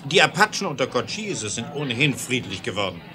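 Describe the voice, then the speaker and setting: An actor playing a sober, unflappable railroad aide in a 1970s German radio play, speaking in a precise, factual way. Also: male voice, medium pitch, big pitch swings, a little fast, pushed and bright. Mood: matter-of-fact.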